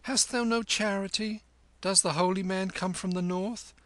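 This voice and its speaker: A man's voice: high-pitched, fairly even intonation, moderate pace, a bit bright, dry recording, pitched above the performer's own voice. A man reading aloud, voicing a playful, generous young woman who teases in a raised, lilting voice. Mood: teasing. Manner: teasing, lilting.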